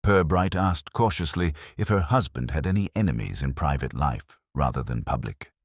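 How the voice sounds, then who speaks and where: A man's voice: extremely low pitch, lively intonation, moderate pace, husky, no room sound, clean studio sound. A man reading a scripted mystery story as narrator.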